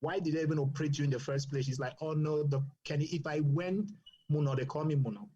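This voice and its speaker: A man's voice: fairly high pitch, fast talker, dark tone. Earnest man, lo-fi sound. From Cameroon. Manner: earnest, advocating, with frequent restarts. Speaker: doctor and guest. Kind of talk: earnest explanatory podcast talk.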